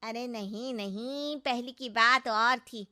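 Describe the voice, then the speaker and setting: An actress playing a nosy middle-aged neighbour in a cartoon story, gossiping in a prying, teasing way. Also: female voice, on the high side, takes it slowly, bright, forceful tone. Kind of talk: Hindi cartoon story acting.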